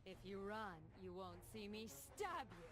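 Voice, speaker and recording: A woman's voice: medium pitch, sing-song, measured pace. A woman playing a deadly, cool female assassin in a video game, delivering dry taunts. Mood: dry, taunting. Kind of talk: video game character joke line. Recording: quiet game clip, music underneath.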